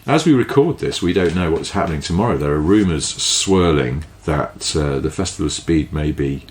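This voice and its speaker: A man co-hosting a podcast in casual, rambling chat, quick to laugh, his voice low and tired from two days of talking, recorded inside a caravan. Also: lively intonation, echoey room. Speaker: podcast co-host. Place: inside a large caravan in a field.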